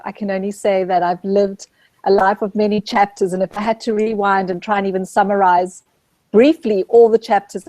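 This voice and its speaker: A middle-aged woman from Zambia, a guest speaking gently and reflectively about her spiritual search, often pausing to restart her thought. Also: medium pitch, dry recording, low-quality recording. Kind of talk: reflective spiritual interview talk.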